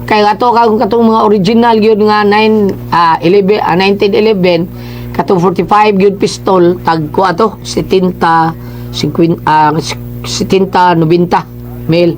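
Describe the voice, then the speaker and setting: High-voiced Cebuano male radio co-host. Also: steady tone, normal speed, soft background music. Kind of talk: casual retelling and banter on radio.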